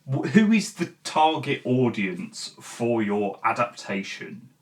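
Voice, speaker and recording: A person presenting a video essay as host, in an informal, opinionated and halting way with emphatic stresses, chatting straight to viewers. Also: medium pitch, sing-song, far from the mic. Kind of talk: video essay commentary, casual chat to viewers.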